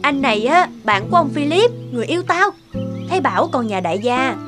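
A woman's voice: high-pitched, big pitch swings, quick pace, music in the background. A woman voicing a brash, pushy, materialistic young woman in a cartoon. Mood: pushy scorn. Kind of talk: cartoon dubbing.

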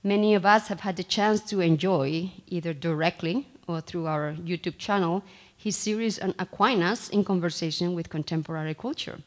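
Lady in her 30s introducing a speaker at a podium mic, lowish voice, careful and a bit halting, small room. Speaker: faculty member introducing the speaker. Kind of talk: formal introduction speech. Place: small lecture room, indoors. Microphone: podium microphone.

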